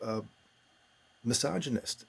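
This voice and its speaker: A man's voice: medium pitch, slow pace, gravelly. A man hosting a fiction podcast, interviewing guests and narrating stories. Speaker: podcast host and story narrator.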